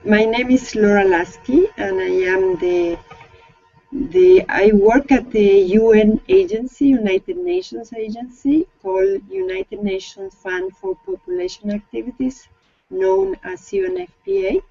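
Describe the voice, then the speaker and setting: A woman with a gravelly, mellow voice introduces herself haltingly, restarting phrases. Her video call audio is dry and dull, with faint music behind. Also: on the high side, lively intonation, no echo. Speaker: program director at a UN agency.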